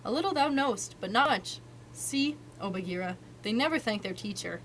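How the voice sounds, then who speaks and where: A woman's voice: mid-range voice, animated, normal speed, fairly bright tone, a little hiss or hum, rough recording. A woman voicing an old male brown bear who teaches the law of the jungle, solemn and lecturing.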